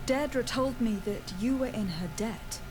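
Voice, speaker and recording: A woman playing a determined young noblewoman diplomat in a fantasy video game, speaking in a measured, earnest, articulate way. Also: fairly high pitch, over music, lightly acted. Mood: earnest, persuading. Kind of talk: scripted video game dialogue acting.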